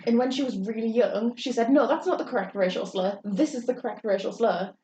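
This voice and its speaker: A young woman talks candidly, circling her thoughts with frequent pauses and fillers. Her voice is mellow and sits well back from the mic. The room echoes around her, and a dull, lo-fi sound carries a faint hiss. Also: fairly high pitch, distant mic. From England. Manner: earnest, rambling, frequent hesitations. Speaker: podcast co-host. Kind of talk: candid personal chat.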